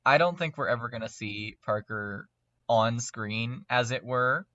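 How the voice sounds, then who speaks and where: A man's voice: medium pitch, a little slow, pushed and bright, no echo, dull audio. A man chatting casually as a podcast guest, speaking emphatically and repeating words for emphasis. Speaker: podcast guest, lore expert. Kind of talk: casual discussion.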